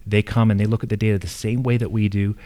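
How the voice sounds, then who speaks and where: A man with an American accent answering as a candidate at a forum, speaking earnestly and emphatically with halting phrasing. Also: on the low side, level tone, warm tone. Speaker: incumbent county assessor candidate.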